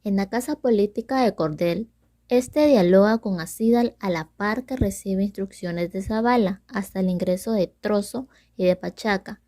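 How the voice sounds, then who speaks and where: A woman's voice: mid-range voice, normal speed, warm tone, dry recording. A young woman, a student presenter, reading a scripted story summary as narrator. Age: young adult.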